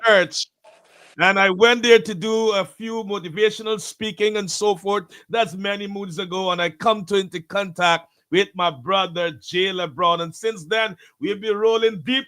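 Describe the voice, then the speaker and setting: A man's voice: high-pitched, fairly even intonation, normal speed, fairly bright tone. A man from Guyana, a pastor hosting a live talk show, welcoming listeners in a preacher-like, repeating style.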